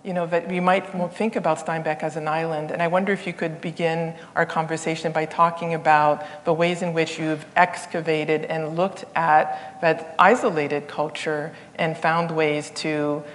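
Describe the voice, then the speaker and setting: A woman's voice: low-pitched, little pitch movement, moderate pace, hardly any pauses. A woman from Haiti who grew up in Canada, hosting an onstage conversation. She speaks thoughtfully, with fillers and frequent restarts. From Haiti, raised in Canada. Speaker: event host and interviewer. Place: theater stage, seated in armchair. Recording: long hall reverb.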